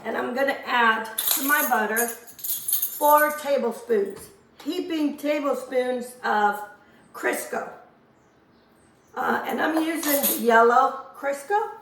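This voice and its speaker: Hoarse, woman host; unhurried, homely kitchen chatter, slightly high voice, recorded a step back from the mic. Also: a bit bright, echoey room, recorded from a distance, slight background noise, poor audio. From Azores. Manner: chatty, homely, rambling asides. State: hoarse from a lingering cough. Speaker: cooking channel host. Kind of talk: casual cooking demonstration. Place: home kitchen.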